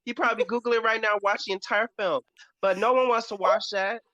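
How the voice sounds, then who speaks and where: A man with a bright, forceful voice argues on a casual panel show. He is sassy and blunt, full of slang and swearing. The sound is close and dry, a little dull. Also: high-pitched, fairly even intonation, fairly quick, no room sound. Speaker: podcast panelist. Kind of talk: heated casual debate.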